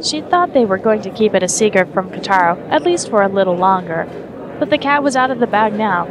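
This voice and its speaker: A woman's voice: medium pitch, lively intonation, a little fast, crowd noise. A woman reading scripted story narration as the narrator of an audio drama.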